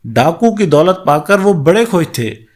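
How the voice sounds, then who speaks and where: A man tells a moral folk tale in an expressive storyteller's style. His pitch moves widely as he goes, and he sits a little higher than most men. The delivery is slow and measured, suited to a scripted narration.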